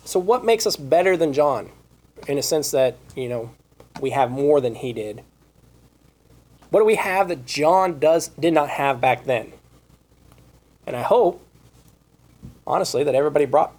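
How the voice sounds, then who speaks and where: A man preaching a Bible study sermon, reading scripture aloud and asking rhetorical questions, with his voice going hoarse. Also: mid-range voice, lively intonation, unhurried, pauses often, darkish tone. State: losing his voice.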